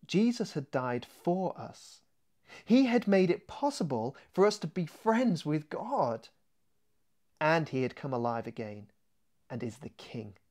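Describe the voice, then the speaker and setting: A man reading his own children's book aloud as a scripted, expressive audiobook narration. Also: on the high side, measured pace. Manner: expressive storytelling. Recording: music behind the voice.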